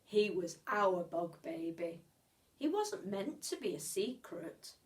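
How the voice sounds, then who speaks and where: A woman reading a children's story aloud like a teacher, expressively, with measured pauses and stretched words. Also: mid-range voice, sing-song.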